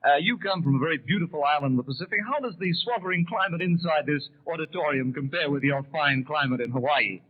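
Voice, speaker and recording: Man, American, 1930s radio interviewer, tinny narrow broadcast sound, rapid delivery, courteous and formal. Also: a little high, husky. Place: convention hall broadcast room.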